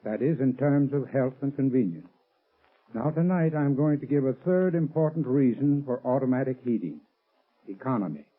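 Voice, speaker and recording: A man's medium-pitched voice on a narrow, tinny vintage radio recording. He delivers a scripted sponsor's talk in 1930s style, formal and measured, explaining things like an instructor. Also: lively intonation, breathy edge. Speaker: sponsor's heating expert.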